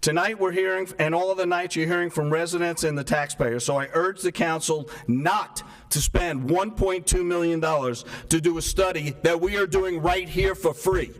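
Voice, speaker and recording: Soft-spoken man, slightly high voice, resident at a council meeting's public comment, earnest, leans on rhetorical questions. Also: normal speed, hardly any pauses. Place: town hall meeting room. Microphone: microphone stopped working partway.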